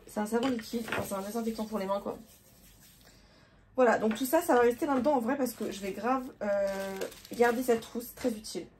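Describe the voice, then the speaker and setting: A young woman with a sore throat and a hoarse voice, chatting casually to viewers as she sorts her makeup, rambling with plenty of fillers. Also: fairly high pitch, darkish tone, slight background noise. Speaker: makeup artist vlogger. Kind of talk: casual sorting vlog, talking to viewers.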